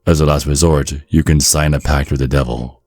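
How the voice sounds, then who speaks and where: A man reading scripted ASMR narration in a measured, storytelling manner. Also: very deep voice, fairly even intonation, darkish tone, no echo, studio quality, soft background music.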